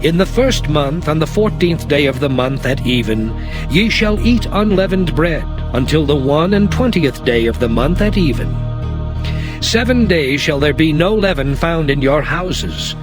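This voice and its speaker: A man voicing God in a dramatized scripture reading, proclaiming laws in solemn, measured phrases over music. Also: on the high side, animated. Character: the Lord, commanding divine voice giving laws. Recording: music under the voice.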